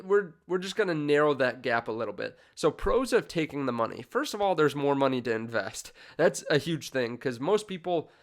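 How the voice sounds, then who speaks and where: A man's voice: medium pitch, fairly bright tone. Congested young man rambling to camera. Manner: rambling, many fillers and restarts. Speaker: finance channel host. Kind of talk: casual direct-to-camera talk.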